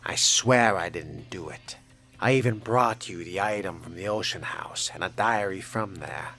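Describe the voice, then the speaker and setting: A man's voice: sits low, moderate pace. A man voicing a suave, sardonic young vampire in character during a video game, courtly and wry. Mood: pleading. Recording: loud music behind the voice.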